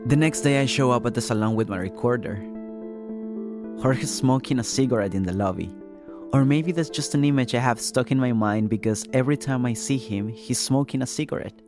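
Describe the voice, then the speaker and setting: A man's voice: medium pitch, fairly quick. A man hosting and reporting a podcast, reading scripted first-person narration. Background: music.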